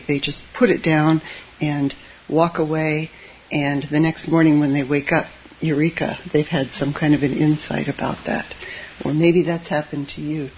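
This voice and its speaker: Soft-spoken Zen teacher, lo-fi hiss. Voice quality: soft voice that tends to drop low. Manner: hesitant, with frequent fillers and wry asides. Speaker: Zen teacher giving a dharma talk. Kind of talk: gentle, informal dharma talk. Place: meditation hall with a live audience. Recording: dull lo-fi audio with faint hiss.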